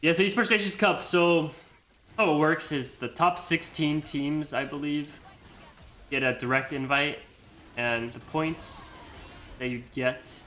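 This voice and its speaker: Bright-voiced young man with an American accent. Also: a little high, fairly even intonation, unhurried, soft background music. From Iceland. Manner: rambling, full of likes and you-knows. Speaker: gamer and video creator. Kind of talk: casual gameplay commentary.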